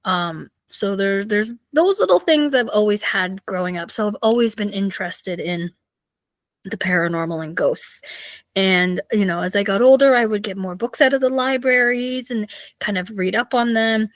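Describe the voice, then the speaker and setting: A woman in her thirties with a raspy voice, hosting a podcast and chatting casually with lots of fillers. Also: mid-range voice, moderate pace, no room sound. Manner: chatty, rambling, with many fillers. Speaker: podcast host. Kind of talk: casual solo storytelling.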